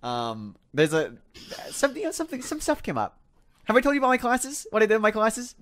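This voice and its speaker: A young man with a fairly high voice and very lively intonation talks casually on a podcast. He rambles along and pokes fun at himself. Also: fairly quick. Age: 20s. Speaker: podcast co-host. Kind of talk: casual group chat.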